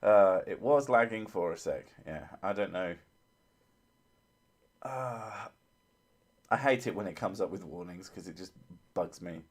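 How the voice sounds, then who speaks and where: A man with a low, soothing voice chats loosely through a livestream, rambling and poking fun at himself. He laughs often and drifts from one thought to the next. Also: lively intonation, unhurried, pauses often. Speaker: livestream host. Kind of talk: casual livestream chat.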